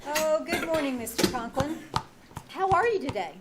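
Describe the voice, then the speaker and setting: A woman's voice: high-pitched, animated, normal speed. A woman playing a wisecracking, slightly scatty schoolteacher with a wry, stammering manner, in an old-time radio comedy. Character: wisecracking female schoolteacher, scatty and drily teasing. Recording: noisy live theater stage recording.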